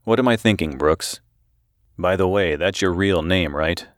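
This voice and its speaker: A man voicing a grim, guarded detective in an audiobook, speaking quietly, somberly and tersely. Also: deep voice, a little slow, no room sound, studio quality. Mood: suspicious. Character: grim, guarded male detective on medical leave. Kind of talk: audiobook character dialogue.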